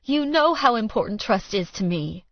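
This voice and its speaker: A woman's voice: fairly high pitch, lively intonation, moderate pace, no echo, highs cut off, voice pushed up. A woman narrator voicing a hurt, angry young woman with a quavering, accusing delivery in an audiobook romance. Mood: anguished, accusing. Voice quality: wobbly, quavering. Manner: emotional, accusing, crying out. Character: hurt, angry young woman confronting her lying lover.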